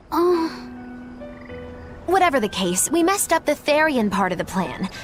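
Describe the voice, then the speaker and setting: A sober young woman companion, voiced, high and lively in pitch yet slow and measured. She questions things quietly while a music score plays under the game audio. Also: full character voice. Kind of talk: video game voice acting.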